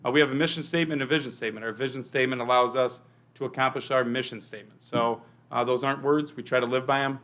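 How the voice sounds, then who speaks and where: A man, a police chief, presenting an update to a village board in a meeting room, talking loudly with many fillers. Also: mid-range voice, fairly even intonation, fast. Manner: speaks loudly without a microphone, filler-heavy.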